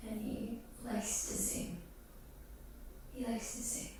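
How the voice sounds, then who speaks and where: A woman streaming ASMR, chatting casually in a breathy whisper close to the microphone in an unhurried, drifting way. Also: on the high side, fairly even intonation. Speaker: ASMR livestreamer chatting with viewers.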